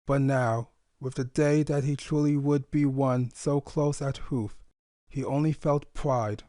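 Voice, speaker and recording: A man's voice: mid-range voice, steady tone, measured pace, warm tone, dry recording. A man reading scripted story narration in an earnest, sentimental way. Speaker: fan fiction narrator.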